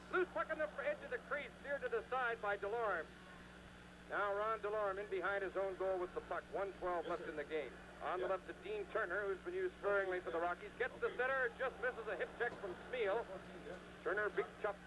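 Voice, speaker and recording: A noisy late-seventies radio recording carries a man calling ice hockey live. His voice sits high, and he follows play in short, clipped running phrases. It is classic play-by-play delivery, climbing in pitch whenever a shot comes. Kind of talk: live 1970s radio sports commentary.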